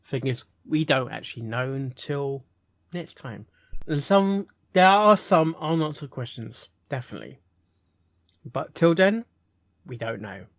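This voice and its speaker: A man giving casual, unscripted reaction commentary as a host, halting and rambling, often repeating words and phrases. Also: medium pitch, sing-song, vocal fry, dry recording, clean studio sound. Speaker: host reviewing fan fiction readings.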